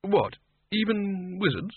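Man doing a gruff, blustering old wizard, band-limited. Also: sits high, big pitch swings, slow pace, dry recording, phone-quality sound, voice pushed up, heavily acted. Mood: affronted. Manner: blustering, snappish, blunt and dogmatic. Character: gruff, bossy old male wizard, head of a college. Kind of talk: comic fantasy audiobook dialogue.

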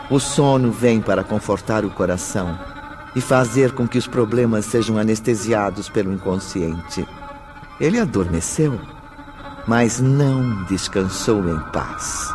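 A man with a Brazilian accent narrating a radio drama in a solemn, theatrical manner. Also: mid-range voice.

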